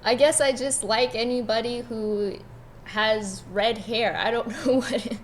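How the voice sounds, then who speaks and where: A woman's voice: fairly high pitch, steady tone, a little slow, slight background noise. A woman chatting casually as a co-host on an amateur fan podcast. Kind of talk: casual chat.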